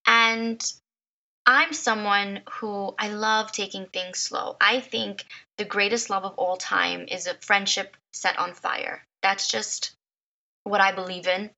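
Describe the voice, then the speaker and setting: Slightly raspy, gravelly-voiced woman podcaster. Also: mid-range voice, flat delivery, takes it slowly, bright, forceful tone, dull audio. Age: 30s. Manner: emotional, repeats words, laughs often. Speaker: podcast host. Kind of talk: personal storytelling podcast.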